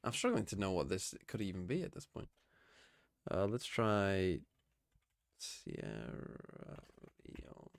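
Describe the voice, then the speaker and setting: A man's voice: deep voice, huge pitch swings, slow, creaky, pauses often, no room sound. A man streaming live, chatting casually and muttering to himself as he plays. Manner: muttering to himself while playing.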